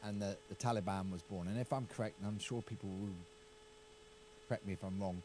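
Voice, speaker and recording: Halting low-voiced English man. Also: steady tone, darkish tone, a little hiss or hum. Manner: halting, repeats words, hedging. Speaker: county councillor. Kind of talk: casual political discussion.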